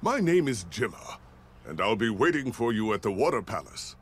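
An actor playing a stern, grave older knight in a video game, speaking in a formal, measured way. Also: male voice, lowish voice, sing-song, slightly rough. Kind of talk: dubbed game dialogue.

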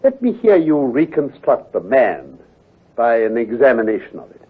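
An actor playing a brisk, confident, teasing gentleman detective with a British accent, precise and patronizing, in an old-time radio drama. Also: mid-range voice, very animated, husky. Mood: inquisitive. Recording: muffled, lo-fi vintage radio recording.

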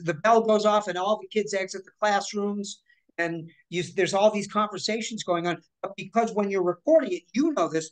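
A woman in her forties, a voice actor, telling animated stories with lots of restarts over a video call from her living room. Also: mid-range voice, low-quality recording. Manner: chatty, animated, with many restarts and hand gestures. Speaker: guest voice actor. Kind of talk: casual interview storytelling.

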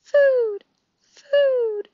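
A woman voicing a tiny newborn gosling, needy and insistent, with peeping, squeaky cries. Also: extremely slow, no room sound, highs cut off, exaggerated voice. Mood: hungry, pleading. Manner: single-word exclamations.

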